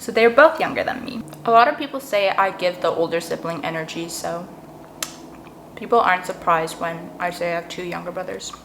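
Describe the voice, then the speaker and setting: A woman in her twenties, raised in Canada, chatting casually and brightly to viewers while tasting desserts. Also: fairly low pitch, brisk, vocal fry, slight background noise, poor audio. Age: 20s. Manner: bubbly, chatty, eating between sentences. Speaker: vlogger. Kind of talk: casual mukbang chat.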